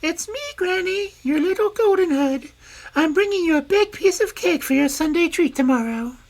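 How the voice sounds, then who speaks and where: A woman's voice: very high voice, animated, moderate pace, darkish tone, voice pushed up. A woman voicing an innocent, trusting little girl who talks in a chirpy, wide-eyed way. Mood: sweet.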